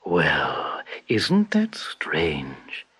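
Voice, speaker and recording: A man voicing a sly, purring tiger, low and slowly whispered, with a husky edge. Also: wildly swooping pitch, a bit bright, exaggerated voice. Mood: scornful whisper.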